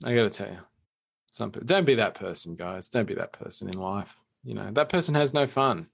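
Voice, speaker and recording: Gravelly Australian man, casual. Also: fairly low pitch, expressive, brisk. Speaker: podcast co-host. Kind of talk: casual chat.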